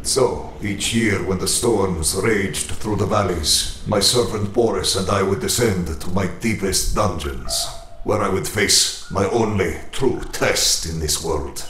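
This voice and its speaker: A man's voice: deep voice, unhurried, slight background noise. A man voicing a proud, brooding masked tyrant king, speaking solemnly and formally in a comic drama. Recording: echoey, loud music bed.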